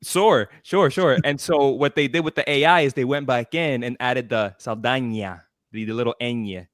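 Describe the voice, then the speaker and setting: Male panelist, mid pitch, dry close sound, explanatory. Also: normal speed, dry recording. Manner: explaining patiently with examples. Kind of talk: casual panel chat. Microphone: webcam on a video call.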